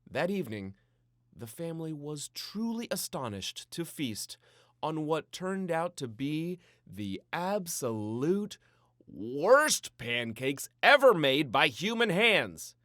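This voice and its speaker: A man reading a scripted children's story in a playful, theatrical way with dramatic pauses. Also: fairly high pitch, very animated, fairly bright tone, dry recording, studio quality. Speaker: storybook narrator.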